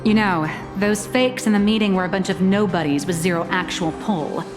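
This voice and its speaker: A woman's voice: medium pitch, normal speed, in a large hall, over music, close to an everyday voice. A female actor playing a cool, sardonic, commanding schemer in a video game, with a dry, teasing manner. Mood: dry, brisk.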